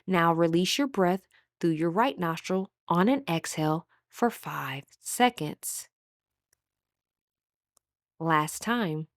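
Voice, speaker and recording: A woman leading a scripted guided meditation as an instructor, with a measured, soothing, instructional delivery. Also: fairly low pitch, no room sound, studio quality.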